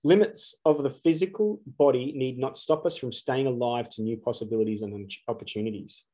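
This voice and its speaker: A man in his forties talks straight into a close camera from a dark room. He is earnest and emphatic, pressing his points with rhetorical questions in a mid-pitched voice. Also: a little fast. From Australia. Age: 40s. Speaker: astrologer. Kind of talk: solo spiritual talk to camera.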